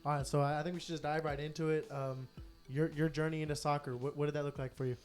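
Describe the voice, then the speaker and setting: A young man hosting a podcast, asking questions in a casual way, with many quick restarts. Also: on the high side, level tone, faint music behind. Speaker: podcast host.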